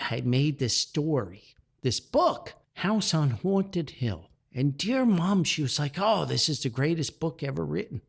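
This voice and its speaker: A man's voice: medium pitch, vocal fry, slightly rough. A man hosting a podcast, telling reflective stories in a folksy, sentimental and earnest way. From Paterson, New Jersey, United States. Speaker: podcast host and author.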